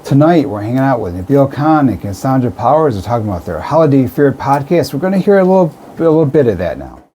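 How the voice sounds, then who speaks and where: A man's voice: medium pitch, big pitch swings, fast, warm tone, a little hiss or hum. A man hosting a radio show, interviewing guests in a casual conversational style.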